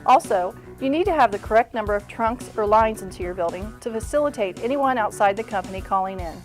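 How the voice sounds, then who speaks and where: A woman's voice: medium pitch, expressive, fast, soft background music. A woman presenting as a show correspondent, answering viewer questions in a polite, instructive, scripted delivery.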